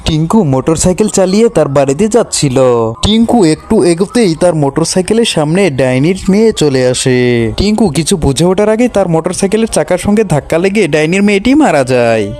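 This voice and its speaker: A man's voice: sits high, animated, fairly quick, darkish tone, soft background music. A man reading scripted children's cartoon stories as narrator.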